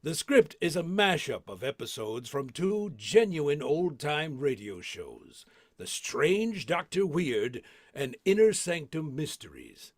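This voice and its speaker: A man presenting a scripted theatrical introduction as a showman, with dramatic pauses. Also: fairly high pitch, big pitch swings, dry recording, clean studio sound. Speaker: presenter and cast member.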